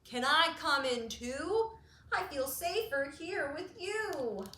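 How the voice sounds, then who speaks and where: A woman voices a calm storybook cow that moos and asks softly to come in, slow and gentle. Read in an echoey room. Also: high voice, distant mic, slight background noise, exaggerated voice.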